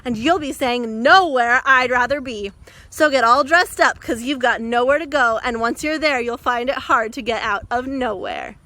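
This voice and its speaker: A woman's voice: a little high, moderate pace, sharp tone, dry recording. A woman playing a perky, persuasive announcer in a scripted parody travel commercial, with an upbeat sales manner. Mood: upbeat, salesy.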